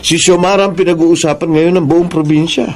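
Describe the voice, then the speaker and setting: An actor playing a smug, ambitious grandson plotting in politics, theatrical and sprinkling in English, in a Filipino radio drama. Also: male voice, fairly high pitch, steady tone, fairly quick, darkish tone, lightly acted.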